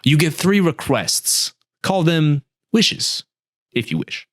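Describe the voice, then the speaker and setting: A calm old male snake speaks in a raised, wry voice, as a man puts him on. He explains things patiently and matter-of-factly, like a character in a read-aloud storybook. The recording is dry and close, with no room around it. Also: fairly high pitch, slow, no room sound, pitched above the performer's own voice. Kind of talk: storybook reading.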